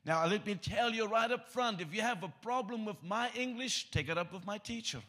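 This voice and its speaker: Afrikaans-accented preacher guy, husky and kinda high voice, cracks jokes then reads scripture. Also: fairly quick, pushed and bright. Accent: South African Afrikaans. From South Africa. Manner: joking, self-deprecating asides. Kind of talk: sermon with scripture reading.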